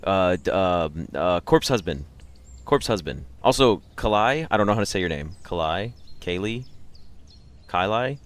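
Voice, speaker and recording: Low-voiced man chatting on a livestream. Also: animated, a little slow. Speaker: livestream host. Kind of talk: casual chat with live stream viewers.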